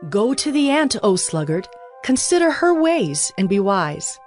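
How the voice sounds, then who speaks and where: A woman playing a wise figure who chides a lazy sluggard, expressive and admonishing, in a dramatized scripture reading. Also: medium pitch, unhurried. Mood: chiding. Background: background music.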